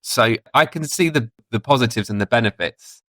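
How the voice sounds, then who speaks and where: A man's voice: mid-range voice, normal speed, sharp tone, no echo. A man with a British accent, talking as a podcast guest in a reflective, explanatory, conversational way. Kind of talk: casual discussion.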